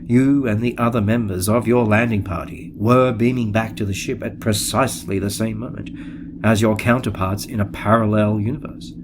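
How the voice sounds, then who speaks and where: An actor playing a cold, calculating alien starship officer in an audio drama, speaking in a measured, formal, deadpan way. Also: male voice, on the low side, background noise, lightly acted. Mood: detached, precise. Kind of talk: audio drama narration and dialogue.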